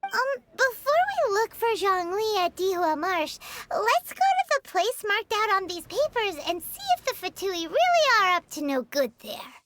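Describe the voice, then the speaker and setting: A woman voicing a tiny, childlike fairy companion in an anime-style video game, chirpy, excitable and exclamatory. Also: very high-pitched, moderate pace, slight background noise, exaggerated voice. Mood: puzzled, wary. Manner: speaks of herself in third person, exclamatory.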